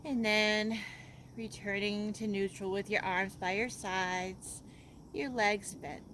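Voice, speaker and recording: A woman leads a guided relaxation as a yoga teacher. She speaks very slowly and gently, leaving soothing pauses between instructions. Her mid-pitched voice sits over faint background noise. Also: steady tone.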